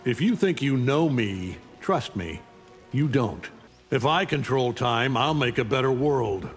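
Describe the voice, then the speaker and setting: An actor playing an arrogant, menacing alien superhero conqueror, imperious and taunting, in a fighting game. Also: male voice, mid-range voice, animated, a little slow, in a large hall, soft background music, lightly acted. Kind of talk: fighting game character dialogue.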